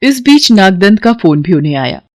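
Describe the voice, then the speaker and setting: A woman's voice: mid-range voice, sing-song, normal speed, a little mellow, no room sound, studio quality. A woman narrator telling a scripted story in a dramatic storytelling style.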